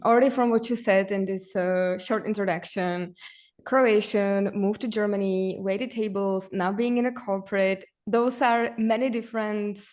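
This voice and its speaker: Woman, podcast host, mid pitch, welcoming, asks questions. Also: a little mellow. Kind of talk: interview hosting.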